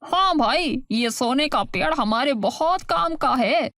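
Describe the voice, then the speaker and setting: A woman's voice: high-pitched, expressive, takes it slowly, a bit bright, no echo, clean studio sound, full character voice. A woman voicing a greedy villager, an eager sidekick, in a cartoon story.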